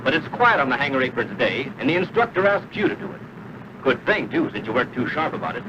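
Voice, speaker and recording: Lively 1940s training film narrator, man. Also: mid-range voice, fast, highs cut off. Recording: noisy old 1940s film soundtrack.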